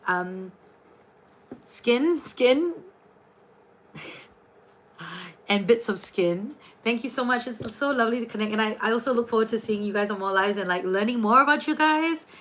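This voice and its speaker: Chatty woman with a Kiwi accent. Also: a little high. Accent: New Zealand. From New Zealand, raised partly in Singapore. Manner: chatty, animated, reading out viewer names. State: tired, late at night. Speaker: tarot and astrology reader hosting a live stream. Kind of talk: casual livestream chat with viewers.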